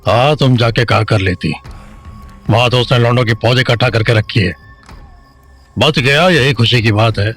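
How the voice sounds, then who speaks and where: A man's voice: medium pitch, normal speed, long pauses, a bit bright, breathy edge, faint music behind. A man playing a weary, blunt, middle-aged small-town father in an audio drama. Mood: gruff.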